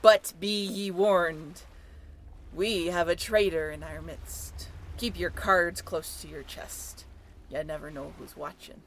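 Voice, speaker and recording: A woman's voice: lowish voice, fairly even intonation, slow pace, voice pushed down. A woman voicing a brash, commanding pirate deck boss who barks at the crew in an audio drama. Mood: rousing, defiant, then wary, conspiratorial. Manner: swaggering, barking orders to the crew. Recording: clear background noise.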